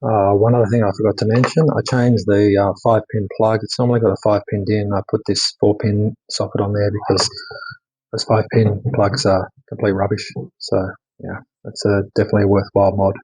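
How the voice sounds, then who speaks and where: A man with a fairly low, husky voice talks through a hands-on demo like a hobbyist at the bench. His delivery is casual and unscripted. He moves haltingly from point to point, often letting a thought trail off. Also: flat delivery, a little mellow, rough recording. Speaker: radio hobbyist showing his modified radio.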